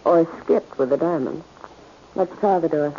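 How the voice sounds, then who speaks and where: A woman's voice: low-pitched, normal speed, close to an everyday voice. An actress playing a tough, defiant female crime boss in 1940s radio style, curt and hard-edged. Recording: muffled, noisy old radio recording.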